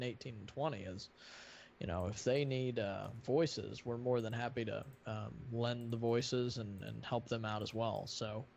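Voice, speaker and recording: A man hosting a casual live stream chat, talking in a rambling, halting way. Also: mid-range voice, creaky, darkish tone. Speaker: live stream host of a fan dubbing project. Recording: muffled audio with light background noise.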